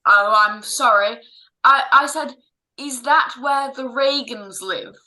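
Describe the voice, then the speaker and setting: A flustered stranger in a radio play, acted by a woman. The voice is high and sharply bright, and the words come slowly, with apologetic stammers. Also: full character voice, rough recording. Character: flustered, polite stranger asking for directions. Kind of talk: old-time radio drama acting.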